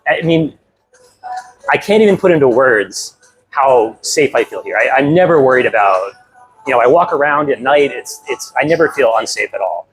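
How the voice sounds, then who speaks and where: A young American man, a guest talking about his move abroad in a lively, upbeat way with lots of fillers. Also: mid-range voice, normal speed, faint music behind, rough recording. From United States. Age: young adult. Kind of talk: casual interview.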